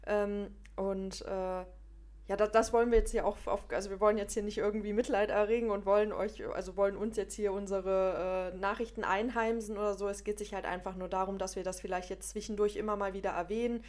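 A woman's voice: medium pitch, flat delivery, fairly quick, a little mellow. A woman co-hosting a podcast in casual chat, with a regional German dialect and a speech impediment, talking hesitantly with many fillers. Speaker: podcast co-host.

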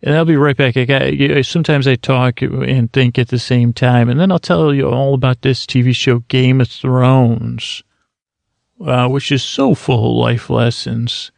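A man hosting a bedtime podcast who rambles in a meandering, halting way, stretching out some words slowly to lull listeners to sleep. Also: mid-range voice, steady tone, vocal fry, no echo. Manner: meandering, halting, drifting into slow drawn-out words. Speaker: podcast host.

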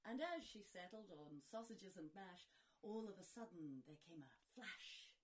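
A woman's voice: on the low side, expressive, unhurried. Theatrical woman narrating a comic rhyme. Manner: playful, theatrical. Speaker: podcast co-host and storyteller. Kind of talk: performed rhyming comic narration.

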